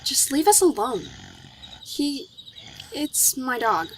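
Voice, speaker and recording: With noticeable noise and night ambience behind her, a woman acts an emotional young audiobook heroine, high and bright in voice. She pleads with frightened devotion, her delivery swinging widely in pitch. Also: big pitch swings, takes it slowly, exaggerated voice. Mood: defiant, frightened. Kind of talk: dramatized audiobook acting.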